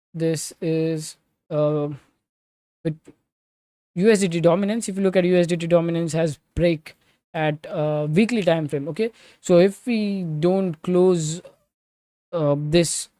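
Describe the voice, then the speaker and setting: A man from Switzerland giving live crypto market commentary and answering chat, halting with many fillers, restarts and loose grammar. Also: a little high, mellow, no echo. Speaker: crypto trading livestreamer.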